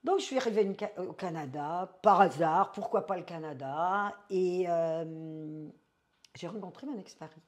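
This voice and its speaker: Older woman from France, interview guest in her seventies, unhurried, lowish voice, reflective. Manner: slow, thoughtful, mixing in English phrases. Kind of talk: reflective life story.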